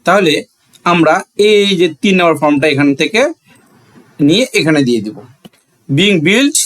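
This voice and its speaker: A man's voice: on the high side, normal speed. A man with a Bangladeshi accent teaching a grammar lesson, asking questions and answering them himself, repeating phrases for emphasis.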